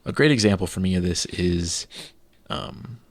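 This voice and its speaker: A man's voice: low voice, lively intonation, moderate pace, dry recording. A man co-hosting a podcast, chatting casually and enthusiastically with many fillers. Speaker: podcast co-host. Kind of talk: casual chat.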